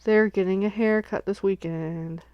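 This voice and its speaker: A woman's voice: mid-range voice, fairly even intonation, measured pace, lo-fi sound, slight background noise. A sniffly woman hosting a casual crafting livestream, chatting and thinking aloud as she unboxes and works at home.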